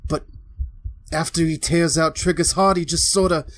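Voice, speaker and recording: An actor playing a streetwise small-time crook in a retro radio drama. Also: male voice, fairly high pitch, fairly even intonation, moderate pace, fairly bright tone, lightly acted. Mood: shaken.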